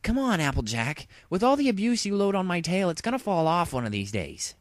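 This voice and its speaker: A man's voice: sits high, sing-song, a little fast, dry recording, clean studio sound, voice pushed up. A man voicing a brash young female pegasus pony with a casual, indignant manner in a storybook reading. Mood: pleading.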